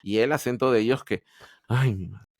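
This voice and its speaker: A man's voice: mid-range voice, normal speed, vocal fry, no room sound, studio quality. A man from Peru, telling his story as a podcast guest in a candid, hesitant way full of restarts. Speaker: podcast guest, Android developer. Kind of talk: casual interview storytelling.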